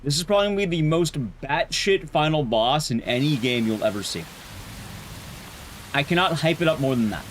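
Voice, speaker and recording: A man's voice: a little high, animated, brisk, slight background noise. A man hosting a livestream, chatting casually with viewers in informal slang, with emphatic, teasing commentary on a game. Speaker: livestream host.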